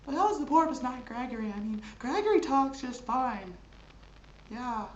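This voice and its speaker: Frantic cartoon housewife played by a man, Californian, shrill squeaky falsetto, roomy sound. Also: lively intonation, moderate pace, muffled recording. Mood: frantic, scandalized. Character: frantic, shrill middle-aged Californian woman, crazed cartoon wife. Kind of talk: exaggerated comic character monologue. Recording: roomy, noisy home recording.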